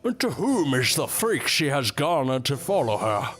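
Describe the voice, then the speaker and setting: An actor playing a pompous, domineering medical professor with an imperious manner in a Gothic audio drama. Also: male voice, mid-range voice, big pitch swings, moderate pace, a bit bright, soft background music. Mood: haughty disdain.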